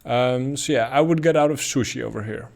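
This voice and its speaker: A man's voice: mid-range voice, fairly quick, gravelly, darkish tone. A man with a Dutch accent giving casual, thinking-aloud commentary on price charts, with frequent ums and uhs. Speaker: crypto chart analyst.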